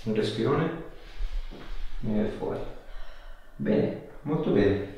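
A man's voice: lowish voice, expressive, slow, mellow, slight background noise. A man, working as a chiropractor and giving informal, short coaxing instructions to a patient. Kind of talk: hands-on clinical instructions and casual chat with a patient. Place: small indoor clinic treatment room. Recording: echoey small treatment room.

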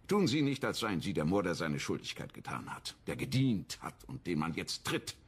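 An actor playing a stern, condescending government minister in a radio crime drama, curt and lecturing. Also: male voice, low voice, breathy edge, heavily acted. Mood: scornful, lecturing.

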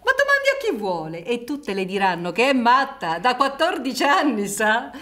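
High-pitched woman, swooping intonation, cynical abandoned village wife, theatrical radio drama. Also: sing-song, sharp tone. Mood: mocking, bitter. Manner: theatrical, drawn-out words, scornful laughs.

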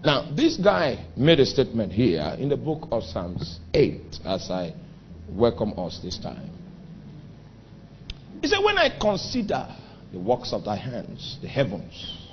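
A man with a Nigerian accent preaches in a middle-pitched voice that leaps up and drops low as he stresses his points, stretching out words for effect. The recording is dull and lo-fi, with a faint hiss underneath. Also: wildly swooping pitch. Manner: emphatic preaching with sudden pitch and volume swings, drawn-out phrases. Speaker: pastor. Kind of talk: sermon.

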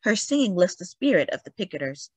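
A woman reading a picture book aloud in a dramatic, emphatic storytelling manner with deliberate pauses. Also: on the low side, very animated, a little mellow, breathy edge, no room sound. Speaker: children's read-aloud story host. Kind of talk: expressive picture book narration.

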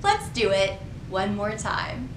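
Animated, slow-paced woman reading to children. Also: mid-range voice, heavy background noise. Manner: animated, deliberate, sing-song storytime delivery. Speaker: children's librarian and storytime host. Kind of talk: reading aloud and teaching for young children. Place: public library children's section.

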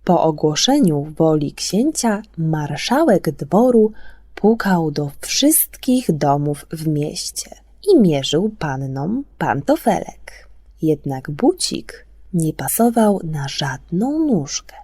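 A woman narrating a scripted fairy tale for children on the radio, expressive, often dropping into breathy near-whispers. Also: low voice, slow, dry recording. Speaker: storyteller on a children's radio show.

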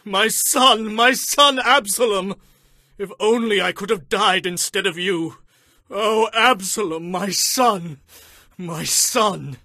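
A solemn king and worried father, acted by a man for a scripture audiobook. His voice is lifted and bright, full of feeling. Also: fairly high pitch, expressive, a little slow, dry recording, studio quality, voice pushed up. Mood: grief-stricken. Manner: solemn, emotional. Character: anxious, grieving father king, deeply emotional.

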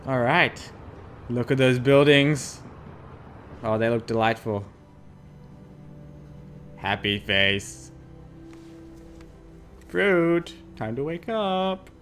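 A man's voice: medium pitch, animated, very slow, long pauses, fairly bright tone, faint music behind. A young adult man giving playful, sarcastic, exclamatory casual commentary while playing a video game. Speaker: let's play host. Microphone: desk USB microphone.

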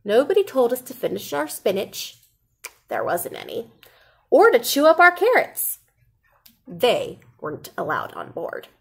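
A woman in her thirties reading a children's picture book aloud in an expressive, deliberate way, like a teacher. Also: a little high, gravelly, slightly rough. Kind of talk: animated children's storybook read-aloud. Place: indoor classroom.

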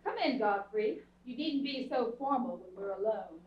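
A haughty, scheming young socialite in an old radio comedy, played by a woman. Her high, lilting voice is sly and commanding, caught from far off in an echoey theatre. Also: lively intonation, moderate pace, lightly acted. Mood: sly, coaxing. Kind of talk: staged old-time radio comedy acting. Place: indoor theatre stage, distant camera. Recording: distant, echoey, dull theatre stage recording.

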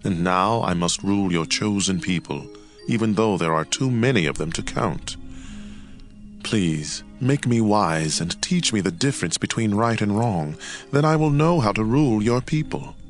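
Young ancient king played by a man for scripture drama: very low voice, solemn, measured, music beneath. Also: animated, lightly acted. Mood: humble, reverent.

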